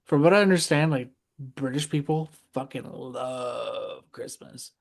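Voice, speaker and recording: A laid-back man talks on a podcast in a middle pitch. He swears casually and strings his thoughts together with ums and likes. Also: a little slow, gravelly. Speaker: podcast co-host. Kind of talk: casual chat.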